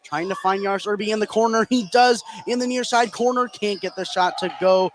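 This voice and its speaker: A man doing live play-by-play basketball commentary for radio, getting excitable on big plays. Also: high voice, a little fast. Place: indoor gymnasium during a game. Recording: noisy live gym broadcast.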